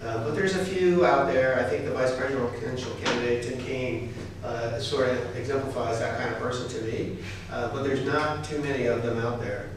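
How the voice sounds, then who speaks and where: Middle-aged American male consultant, even delivery, distant mic, audible room noise. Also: medium pitch, normal speed. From United States. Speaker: political consultant. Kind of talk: panel discussion answering questions. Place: university lecture hall. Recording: echoey lecture hall from far off.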